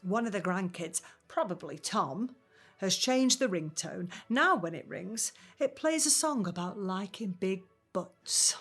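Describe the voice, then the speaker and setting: A woman's voice: medium pitch, expressive, unhurried, fairly bright tone, breathy edge, faint music behind, full character voice. An actress playing a plain-spoken working-class mother in her seventies, grumbling but fond, in a naturalistic audio drama. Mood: grumbling, stubborn. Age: 70s.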